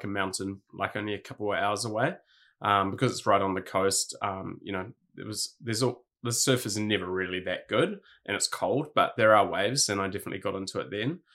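A man in his thirties with a New Zealand accent talks through his story as a podcast guest. His voice is fairly low and his delivery is laid-back, full of ums and likes. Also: sharp tone. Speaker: guest, clothing brand founder. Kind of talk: casual interview answers.

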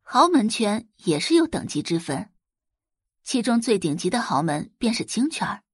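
Expressive, husky female narrator. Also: mid-range voice, normal speed, dry recording, studio quality. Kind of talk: expressive scripted audiobook narration.

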